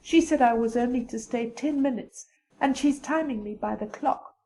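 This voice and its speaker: A woman voicing a sad, gentle young girl in a storybook reading. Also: on the high side, slight background noise, natural voice, rough recording. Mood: tearful. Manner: tearful and hurried.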